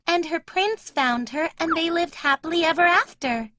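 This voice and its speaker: A woman voicing a sweet, curious, helpful little girl in an animated children's story, with an inquisitive, sympathetic manner. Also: very high-pitched, moderate pace, background noise.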